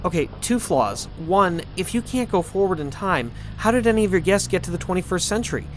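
A man's voice: sits high, animated, fairly quick, slight background noise, rough recording. A young man playing a curious, sceptical student sidekick in an audio drama. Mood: questioning. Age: young adult.

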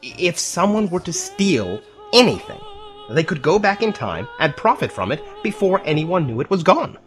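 An actor playing an earnest, cautioning scientist professor in an audio drama, explaining things carefully. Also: male voice, a little high, big pitch swings, moderate pace, soft background music. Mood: earnest, concerned.

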